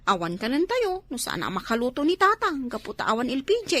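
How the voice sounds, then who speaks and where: A young actress with an Ilocano accent playing a cheeky, pleading niece in a comedy drama. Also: female voice, fairly high pitch, very animated, a little fast, sharp tone, no echo, exaggerated voice. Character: grown-up niece, cheeky and pleading, fond of her aunt.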